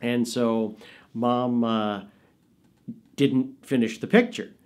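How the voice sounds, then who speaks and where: A man in his fifties telling family stories in a casual, animated way, recorded in a small studio. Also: mid-range voice, slow. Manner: animated, expressive storyteller. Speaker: interviewee. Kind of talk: casual storytelling.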